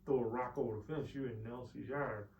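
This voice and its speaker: A man casually interviewing an elderly relative, relaxed with brief replies and a few stammers. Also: mid-range voice, a little fast, lo-fi sound, a little hiss or hum. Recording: echoey room.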